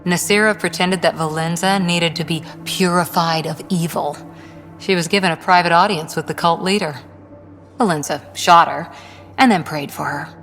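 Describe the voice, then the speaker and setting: A woman playing a composed, seasoned ex-commando in a video game, telling stories in a dry, measured, naturalistic way. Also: fairly low pitch, fairly bright tone, faint music behind. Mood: measured, reflective. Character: composed female soldier, seasoned ex-commando crewmate.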